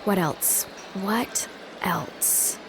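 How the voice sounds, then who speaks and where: Casting: noble lady, field hospital chief, brisk and authoritative, audio drama, mid pitch, noisy background ambience. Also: creaky. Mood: brisk, commanding.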